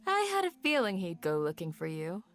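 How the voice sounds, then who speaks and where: A woman playing a calm, kindly village guardian in an anime game dub, explaining things in a composed, measured way. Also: lowish voice, exaggerated voice.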